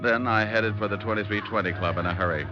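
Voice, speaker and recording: A low, hard-boiled American detective, played by a male radio actor, wry and wisecracking. He talks in clipped slang in the manner of 1940s radio crime drama. The old broadcast sounds muffled, with music behind. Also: steady tone, fast talker, close to an everyday voice. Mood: hard-boiled narration. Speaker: lead actor and narrator.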